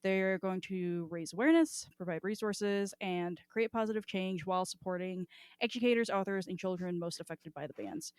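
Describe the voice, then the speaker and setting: A woman's voice: medium pitch, normal speed, a little mellow, clean tone, dry recording. A woman hosting a casual podcast chat, talking in an irreverent, informal, sweary way. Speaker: podcast host.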